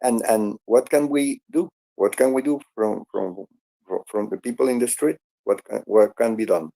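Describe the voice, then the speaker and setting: A man co-hosting an online audio chat who talks haltingly and repeats words. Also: fairly low pitch, creaky, mellow, poor audio.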